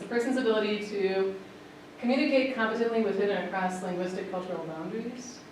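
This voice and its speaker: A woman's voice: lowish voice, noisy background. A woman teacher giving a conference presentation in a casual, chatty way, with lots of "um" and "like". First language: English. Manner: casual and chatty, with many fillers like "um" and "like". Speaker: language teacher presenting a workshop. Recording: echoey room, voice from far off.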